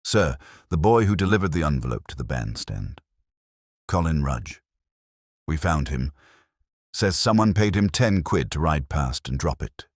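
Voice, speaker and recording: Man reading as dutiful, deep-voiced police constable. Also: expressive, a little slow, dry recording, clean studio sound, exaggerated voice.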